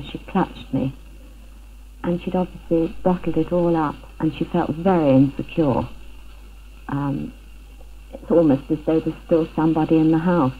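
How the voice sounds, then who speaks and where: A middle-aged woman giving a personal account in an interview, recorded in a living room. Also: low-pitched. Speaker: interviewee, burglary victim. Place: living room at home, indoors. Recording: tinny phone-like 1970s film sound, noisy.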